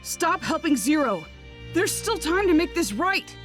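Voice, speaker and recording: An earnest, kind-hearted teenage girl in an anime game, urgent and pleading. Her voice is high, with faint music behind it. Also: big pitch swings, unhurried, heavily acted. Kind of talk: anime game cutscene dub acting.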